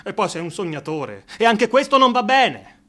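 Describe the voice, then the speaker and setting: A devoted male friend in a theatrical radio play, with a high-pitched voice. He reasons and implores earnestly, protective and tender but exasperated, stressing his points. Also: very animated, moderate pace, a bit bright. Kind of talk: theatrical radio drama acting.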